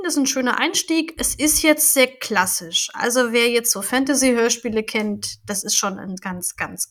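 Chatty woman with a fairly high voice. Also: fairly bright tone. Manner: chatty, thinks aloud, trails off at times. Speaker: podcast co-host. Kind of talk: casual chat reviewing an audio drama.

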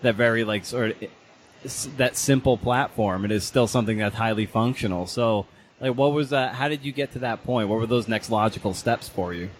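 A man's voice: medium pitch, a little fast, slight background noise. A man hosting a casual interview podcast, asking long, roundabout questions in a conversational way.

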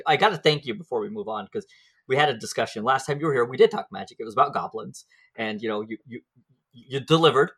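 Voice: medium pitch, fairly bright tone, slightly rough. A podcast host asking a guest questions in a playful, teasing way. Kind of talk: casual chat.

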